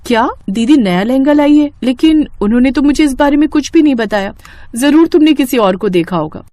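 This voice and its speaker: A woman's voice: high-pitched, expressive, fairly quick, mellow, no room sound, voice pushed up. A woman voicing a trusting, easily hurt younger sister, a college student, in an earnest, emotional manner for a story cartoon. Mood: puzzled. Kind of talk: Hindi moral-story cartoon dialogue.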